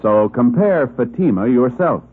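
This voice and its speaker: An American man reading a scripted 1950s radio commercial as an announcer, with emphatic, deliberate salesmanship. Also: medium pitch, animated, breathy edge. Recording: muffled old radio recording.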